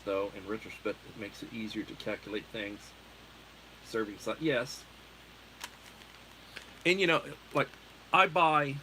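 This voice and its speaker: A man's voice: fairly low pitch, sharp tone, slight background noise, rough recording. A middle-aged American man hosting a livestream, chatting in a meandering, self-correcting way. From United States. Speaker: livestream host. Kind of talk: casual rambling chat.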